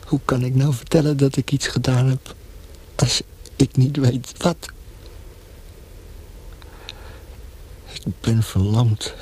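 An actor playing a feverish, tortured young male prisoner facing death in a radio drama, speaking haltingly with hushed passages. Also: mid-range voice, slight background noise, close to an everyday voice. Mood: pleading, feverish.

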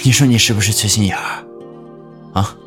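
A man acting a handsome young suitor in a romance audio drama, with a low voice. He speaks in a self-assured, teasing way, with music playing underneath. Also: fairly bright tone.

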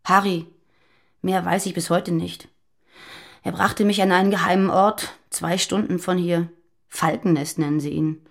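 A woman playing a fearful, guarded former militant on the run in a naturalistic radio drama, confiding and halting. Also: on the low side, steady tone, vocal fry.

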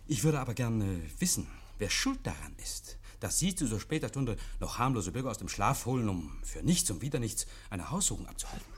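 Defensive fussy scholar, animated intonation, slightly rough voice, agitated over-politeness, vintage radio play. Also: male voice, on the low side, brisk, hardly any pauses. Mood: testy, scornful. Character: nervous, evasive male private scholar hosting policemen, defensive and testy. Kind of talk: 1950s German radio play acting. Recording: vintage 1951 radio drama recording.

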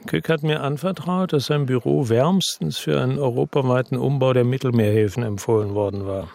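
An actor playing a world-weary, bitter crime investigator who narrates and talks tersely in a radio drama, with a gravelly, husky, deadpan voice. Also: male voice, mid-range voice, fairly quick, close to an everyday voice. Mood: grim, weary. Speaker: first-person narrator and lead character in a radio drama.

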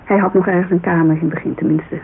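Radio drama part: Dutch woman, thirties, guarded and wistful, gravelly fry in the voice, hissy narrow-band recording. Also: fairly low pitch, steady tone, fast. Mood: guarded, coy. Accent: Dutch, Netherlands. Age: 30s. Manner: hesitant, colloquial, searching for words. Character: thirty-three-year-old Amsterdam model agency owner, guarded, wistful, candid. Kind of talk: naturalistic radio drama dialogue.

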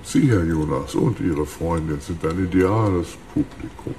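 A man's voice: sits low, a little slow, mellow, a little hiss or hum. An actor playing an ancient, gleefully cruel billionaire villain in a radio crime drama, smugly savoring his words. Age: very old.

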